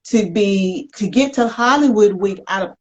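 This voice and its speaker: An American woman hosting a conversational interview in an animated, folksy, affirming way. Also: mid-range voice, normal speed. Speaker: talk show host. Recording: dull lo-fi web call audio.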